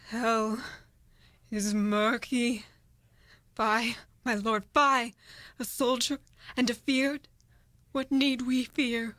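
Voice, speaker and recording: A guilt-ridden queen played by a woman mutters in her sleep in a Shakespearean radio play. Her voice is high and extremely slow, with halting, theatrical delivery, recorded close and dry. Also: a bit bright, no echo.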